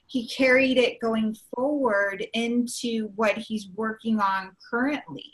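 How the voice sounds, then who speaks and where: A woman hosting a video call, speaking in an earnest, deliberate way. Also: on the high side, steady tone, low-quality recording. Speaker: host. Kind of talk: casual chat.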